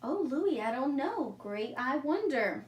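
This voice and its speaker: Female teacher for children's story time, fairly high voice, simple questioning delivery, recorded at a distance. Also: very animated, unhurried. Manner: simple wording, addresses young listeners with questions. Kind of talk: read-aloud story time for young children. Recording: echoey room, distant mic, faint noise.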